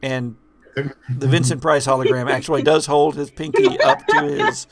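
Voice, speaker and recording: A man's voice: mid-range voice, wildly swooping pitch, normal speed, vocal fry, crowd noise. A man narrating a tabletop horror game as the game master.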